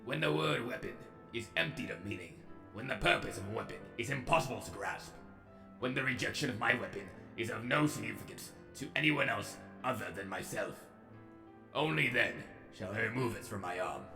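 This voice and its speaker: A man voicing a solemn robot warlord who recites a manifesto with grave, measured oratory, as in a comic dub. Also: on the low side, creaky, music in the background. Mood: solemn, resolute.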